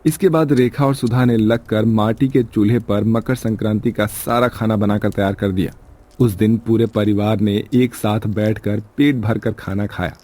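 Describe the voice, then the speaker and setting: A man's voice: lowish voice, steady tone, normal speed, warm tone. A man narrating a scripted moral story in Hindi storytelling style.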